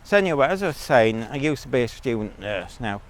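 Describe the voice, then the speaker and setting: A man's voice: mid-range voice, sing-song, fairly quick. A man giving a blunt, rambling monologue as a vlogger, talking while out on a walk.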